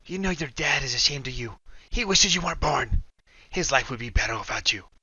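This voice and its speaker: A man's voice: mid-range voice, lively intonation, fairly quick, sharp tone, husky, no room sound, pitched above the performer's own voice, full character voice. A man putting on a cold, taunting young woman. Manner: commanding, taunting.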